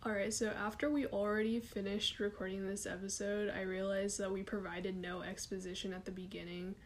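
A twenty-year-old woman co-hosting a chatty podcast with friends. She talks in a loose, relaxed way full of fillers, at a middle pitch. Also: a little fast, a little hiss or hum. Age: 20s. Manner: relaxed, explanatory, filler-heavy. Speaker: podcast co-host. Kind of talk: casual podcast chat.

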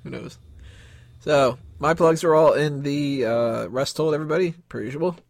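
A man's voice: on the high side, normal speed, vocal fry, dry recording. A man hosting a casual wrestling podcast, joking and sarcastic as he leads the plugs. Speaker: podcast host. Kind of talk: casual chat.

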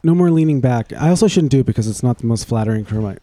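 A man on a casual podcast throws in sarcastic, swearing wisecracks. His voice is mellow and dark in tone, and his pitch swoops up and down a lot as he jokes. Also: expressive, rapid-fire, a little hiss or hum. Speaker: podcast co-host. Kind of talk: casual comedic chat.

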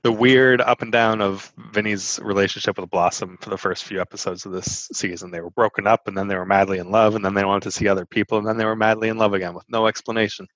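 A man's voice: lowish voice, steady tone, fast, few pauses, a bit bright, no room sound, lo-fi sound. A man co-hosting a podcast, chatting casually about an old sitcom, dry and wisecracking, correcting details. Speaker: podcast co-host. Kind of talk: casual chat recapping a sitcom.

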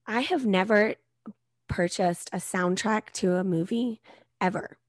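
A woman in her thirties with a soft-spoken voice, a guest author chatting casually in an interview. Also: medium pitch, measured pace, no echo.

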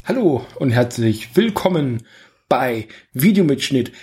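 A man's voice: medium pitch, big pitch swings, unhurried, over loud music. A man hosting a podcast, chatting casually about films in a rambling, jokey, self-correcting way. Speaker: podcast host. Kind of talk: casual film review chat.

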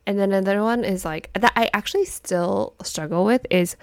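A young woman co-hosting a casual chat podcast, whose first language is Chinese, telling stories in a chatty, animated way. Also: mid-range voice, moderate pace, no echo, studio quality. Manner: chatty, animated storytelling with laughs and restarts. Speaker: podcast co-host.

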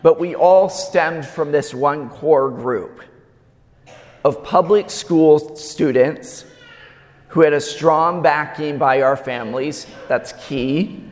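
A man preaching a sermon in a slow, measured way with emphatic pauses, his voice slightly raspy. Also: a little high. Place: large church. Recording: long church reverb.

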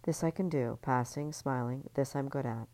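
A woman's voice: rumbling low, moderate pace, a little mellow. A woman reading an audiobook, voicing a sardonic, cornered middle-aged woman with a dry, sarcastic edge. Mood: sardonic inner voice.

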